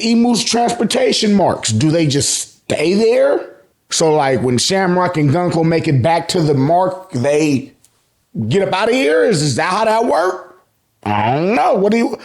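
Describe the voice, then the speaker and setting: Animated high-pitched American man, casual recap. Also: vocal fry. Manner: colloquial, animated storytelling, acts out reactions. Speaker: YouTube host recapping manga. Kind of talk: casual, slangy recap commentary.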